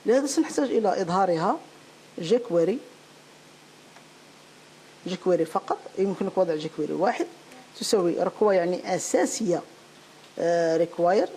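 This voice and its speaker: A woman with a lowish, mellow voice teaches a software tutorial step by step. She speaks off the cuff, haltingly, often repeating a phrase while looking for the next word. There is some noise behind her on a home-made recording. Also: expressive, low-quality recording. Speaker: programming tutorial instructor. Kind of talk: unscripted step-by-step screencast tutorial.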